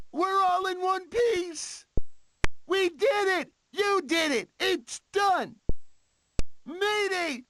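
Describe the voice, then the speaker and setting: A goofy cartoon possum, excitable and reckless. The voice is very high and bounces up and down, with faint noise on the recording. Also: male voice, heavily acted. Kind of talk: animated video game character acting.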